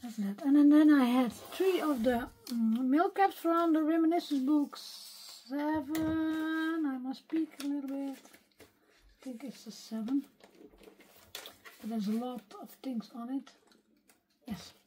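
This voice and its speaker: A Dutch woman speaking English, her voice weak and partly gone, softly thinking aloud as she works through a craft tutorial at her desk. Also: high voice, slight background noise, low-quality recording. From Netherlands. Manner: soft-spoken, unhurried, talks to herself while working. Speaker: craft video maker. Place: craft desk at home, filmed from overhead.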